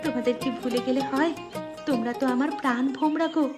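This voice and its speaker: A woman acts out a young village bride in an animated folk tale. She is shy, anxious and sweetly affectionate, with a highish voice. Music is clearly heard underneath. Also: fast talker, natural voice. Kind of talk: animated moral-story voice acting.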